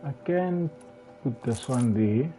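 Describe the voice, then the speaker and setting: A slightly hoarse man commentating his game live, talking haltingly with many restarts and fillers. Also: medium pitch, expressive, mellow. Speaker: game streamer. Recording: tinny phone-like sound with faint music.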